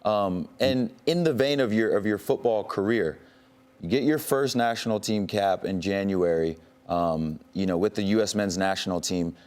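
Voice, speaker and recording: A man's voice: lowish voice, normal speed, darkish tone, smooth. A man hosting a morning football show, asking playful, repetitive questions in a casual, upbeat way. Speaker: show host. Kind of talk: casual sports talk.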